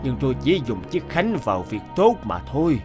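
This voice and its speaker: Man voicing a gentle old woodcutter over music. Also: medium pitch, sing-song, a little fast, lightly acted. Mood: earnest. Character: kindly humble old woodcutter husband, gentle and honest. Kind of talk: animated folktale dubbing. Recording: music behind the voice.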